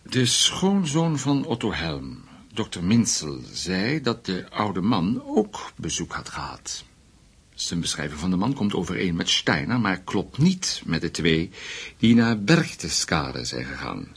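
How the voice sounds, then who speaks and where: An actor playing a measured, discreet senior German investigator in a Dutch radio play, speaking in a deliberate, formal way. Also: male voice, low voice, huge pitch swings, breathy edge, heavily acted. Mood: measured, confiding.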